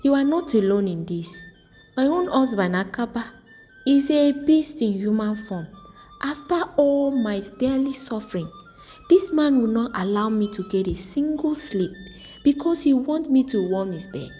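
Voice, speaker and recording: A woman's voice: fairly high pitch, expressive, unhurried, dark tone, pitched above the performer's own voice. A woman playing an emphatic village wife, a fellow sufferer among friends in a folktale. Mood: indignant. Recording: long reverb, music underneath.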